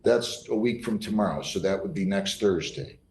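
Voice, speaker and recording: A man's voice: deep voice, normal speed, a little mellow. An American man speaking as a judge from the bench, firm and plain-spoken, repeating points for emphasis in an informal courtroom style. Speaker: judge presiding over a court hearing. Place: indoor courtroom. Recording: roomy courtroom echo with faint noise.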